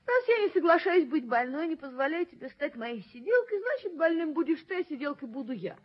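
A proud, headstrong young daughter in a radio play. Her voice is high and swings widely in pitch as she coaxes and insists, on a slightly muffled old recording. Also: very animated, a little fast. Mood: insistent, probing. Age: young adult. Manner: emotional, insistent, coaxing. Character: willful, proud young daughter of a wealthy father. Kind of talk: radio play stage acting.

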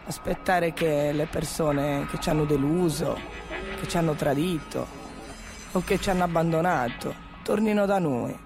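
A man's voice: on the high side, moderate pace, lightly acted. A man playing a hurt, abandoned grown son in an audio drama, hesitant and repeating himself with resignation. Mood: resigned, hurt. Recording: music behind the voice.

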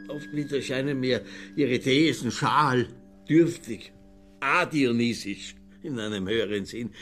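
Radio play role: male actor as pompous, sentimental older Austrian intellectual, slightly drunk, medium pitch, clear music underneath. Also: close to an everyday voice. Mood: tipsy, wistful. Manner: pompous, declamatory, tipsy. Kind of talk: radio drama dialogue.

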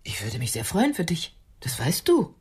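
An actress in a radio play playing a gentle, solicitous woman friend, doubting but shyly hopeful. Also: low-pitched, wildly swooping pitch, moderate pace, breathy edge, full character voice.

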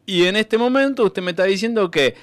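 A man acts out an aggrieved Argentine believer demanding what he was promised in the afterlife. His voice sits a little high, and he complains insistently yet formally. It is a radio comedy sketch with a dry, studio-like sound. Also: animated, a little fast, dry recording.

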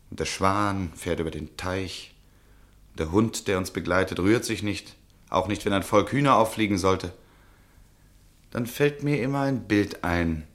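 An actor playing an anxious, resigned nobleman and husband, speaking in earnest, measured, formal German radio drama style. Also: low-pitched, a bit bright. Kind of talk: German radio play acting.

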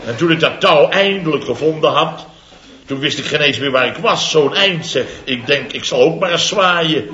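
A man's voice: fairly high pitch, animated, normal speed, bright, forceful tone, roomy sound. An actor playing a blustering contractor in his fifties who tells his mishaps theatrically and chuckles through his lines. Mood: blustering, amused. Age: 50s. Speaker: contractor boss Biels. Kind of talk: 1960s radio comedy serial. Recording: dull old broadcast recording, studio audience laughter. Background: audience laughter.

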